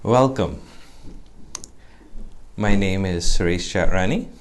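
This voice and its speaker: A man's voice: low-pitched, slow, slight background noise. A man from Barbados, formally welcoming listeners to a conference as its presenter. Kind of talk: formal announcement.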